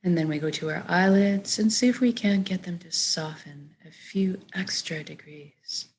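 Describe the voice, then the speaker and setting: A woman with a slightly low voice guides a meditation. Her delivery is gentle and measured, with soft phrases that trail off as she gives soothing instructions.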